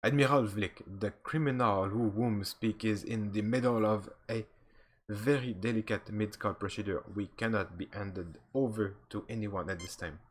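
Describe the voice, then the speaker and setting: A man's voice: fairly low pitch, fairly even intonation, moderate pace, slight background noise, natural voice. A man reading as a firm starship captain. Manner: reads aloud plainly, sometimes stumbling.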